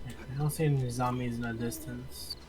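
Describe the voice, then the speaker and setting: A man's voice: mid-range voice, fairly even intonation, a little fast, darkish tone. A man acting as teammate in casual multiplayer game chat, calling out enemies. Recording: faint noise on voice chat.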